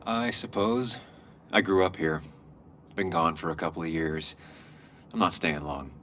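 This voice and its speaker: Casting: sardonic game protagonist, low voice, deadpan and guarded delivery. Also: male voice, unhurried, a little hiss or hum. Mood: guarded, dry. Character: dry, sardonic man, ex-journalist back in hometown.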